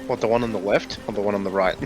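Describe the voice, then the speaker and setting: A man's voice: lowish voice, fairly even intonation, rapid-fire, music in the background. A man bantering on a game voice chat, teasing and exclamatory, swearing freely. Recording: voice chat with background chatter.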